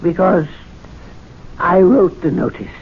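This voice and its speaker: A man's voice: high voice, lively intonation, slow pace, slight background noise. A meek stammering clubman. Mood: indignant. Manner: deferential, hesitant, stammering. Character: timid meek older male club attendant. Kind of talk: 1970s radio drama acting. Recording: dull lo-fi old radio recording.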